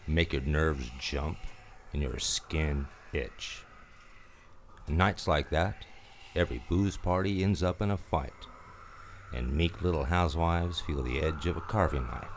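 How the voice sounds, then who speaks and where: A world-weary hardboiled private eye with an extremely low voice. He narrates his case in terse, brooding lines in the manner of old radio noir. There is noticeable noise and ambience behind him. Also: full character voice. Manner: terse, brooding, atmospheric storytelling. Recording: clear background noise with ambience.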